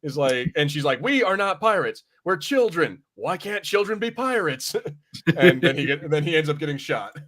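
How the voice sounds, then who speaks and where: A man's voice: a little high, sing-song, normal speed, a crowd in the background. A man hosting a casual review podcast, rambling informally and swearing freely as he chats.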